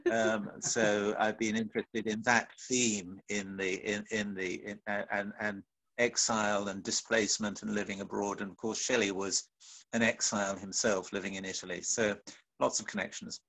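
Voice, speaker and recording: Low-voiced man on a poetry panel video call, hesitant, keeps restarting. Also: fairly even intonation, normal speed, a bit bright, rough recording. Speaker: speaker. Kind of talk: panel discussion.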